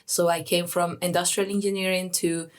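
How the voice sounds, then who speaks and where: A young woman from Peru, a student guest on a podcast, cheerful and laughing often, pausing as she searches for words. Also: lowish voice, flat delivery. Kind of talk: casual podcast conversation.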